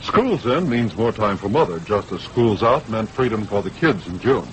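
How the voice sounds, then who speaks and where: A man's voice: lowish voice, sing-song, normal speed. An American man reading a scripted 1960s radio advertisement as a commercial announcer. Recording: faintly noisy 1960s radio recording.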